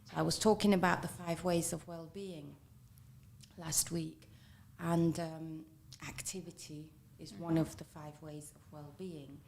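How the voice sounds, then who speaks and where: A woman hosting a morning radio talk show. She speaks in a measured way, affirms her guest, and repeats the guest's points back. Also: low voice, little pitch movement, creaky, a little mellow, a little hiss or hum. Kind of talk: radio interview.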